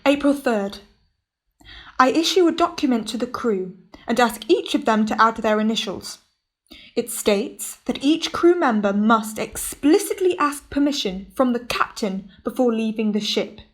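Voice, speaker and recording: A woman voices a stern, commanding ship captain with a high voice. He gives clipped orders to his crew and reads his log in a radio play. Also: expressive, measured pace. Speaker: captain and diarist narrator.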